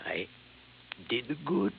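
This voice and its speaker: An actor playing a simple, earnest museum guard in a 1950s radio drama, scratchy old recording. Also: male voice, mid-range voice, big pitch swings, very slow, vocal fry, narrow, tinny audio, exaggerated voice. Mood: proud.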